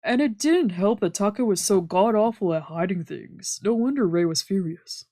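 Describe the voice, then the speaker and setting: A woman's voice: mid-range voice, expressive, moderate pace, warm tone, no room sound, clean studio sound. A woman reading visual novel narration aloud as a let's player. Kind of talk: scripted narration, read aloud.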